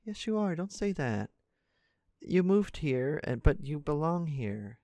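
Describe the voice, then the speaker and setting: A man playing an earnest, caring high school senior in an intimate audio roleplay, speaking casually and haltingly to a best friend. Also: big pitch swings, warm tone, dry recording. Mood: serious, bewildered. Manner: casual, halting, stammering, conversational.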